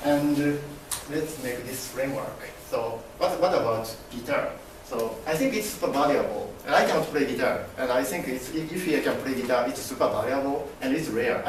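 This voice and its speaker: Young man, Japanese accent, mid-pitched, presenting in class; halting with fillers, recorded by a far camera in a very echoey room. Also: slight background noise. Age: young adult. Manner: halting, many fillers and restarts, gestures broadly. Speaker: MBA student presenter. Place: classroom with projector screen.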